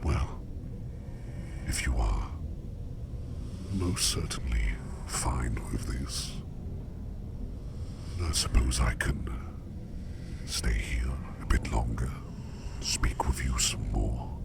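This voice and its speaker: Man playing a gravelly, whispering, very deep sleep demon. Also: full character voice. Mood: calm, enigmatic. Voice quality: breathy whisper over very deep gravelly creak. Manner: slow, formal, musing, deliberate phrasing. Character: curious, enigmatic male sleep demon visiting dreams. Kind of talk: whispered ASMR roleplay, personal attention.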